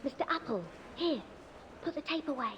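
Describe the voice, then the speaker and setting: Brash, high-pitched pirate radio captain. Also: female voice, lively intonation, normal speed, a crowd in the background. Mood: triumphant, smug. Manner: boastful, grandiose, showy. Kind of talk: theatrical broadcast monologue. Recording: loud music behind the voice. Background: loud music.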